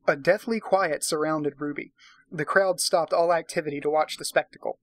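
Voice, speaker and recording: A man's voice: on the high side, a bit bright, no room sound. A tipsy man hosting a casual comic read-aloud, narrating and breaking off for sweary, sarcastic commentary. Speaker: host, narrator.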